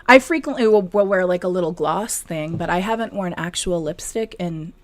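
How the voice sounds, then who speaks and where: A woman's voice: lowish voice, normal speed, dry recording. A middle-aged woman from northern Wisconsin, co-hosting a casual chat and laughing at herself often. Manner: chatty, self-deprecating, laughs often. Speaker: co-host, knitwear designer. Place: hot apartment in a city. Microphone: video call.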